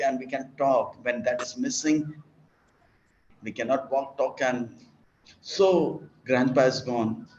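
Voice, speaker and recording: A man's voice: fairly high pitch, slight background noise. A man giving a sermon, preaching in repetitive, list-like emphatic phrases and sometimes acting out dialogue. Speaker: monk and preacher. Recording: roomy, dull lecture recording.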